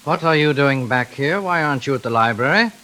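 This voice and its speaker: A man's voice: mid-range voice, animated, fairly quick, bright, forceful tone. A man playing a menacing, suspicious intruder in a 1940s radio thriller, threatening and accusing in his delivery. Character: menacing, suspicious male intruder holding a household hostage. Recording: hissy old radio recording with rain.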